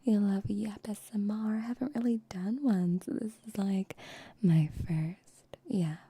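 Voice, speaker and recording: A woman's voice: medium pitch, slight background noise. A young woman whispering close to the mic as an ASMR streamer, chatting with her viewers in an affectionate, teasing way. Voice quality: soft whisper, mostly unvoiced. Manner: affectionate, teasing pet-play talk to viewers; slow, unhurried whispering. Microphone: shotgun microphones close to the mouth.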